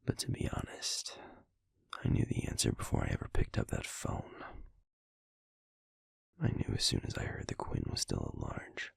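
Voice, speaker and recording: A man playing a grave, protective werewolf pack alpha in an audio drama, with a husky, gravelly voice and measured, formal speech. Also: fairly even intonation, dry recording, clean studio sound, exaggerated voice. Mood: worried, subdued. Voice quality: gravelly, husky, breathy low voice. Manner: measured, deliberate, formal, hushed. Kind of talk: audio roleplay drama acting.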